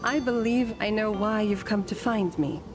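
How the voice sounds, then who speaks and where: A woman voicing an ancient, serene female guardian in a story game, with formal, measured, gently teasing phrasing. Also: medium pitch, music in the background, natural voice. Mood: gently chiding.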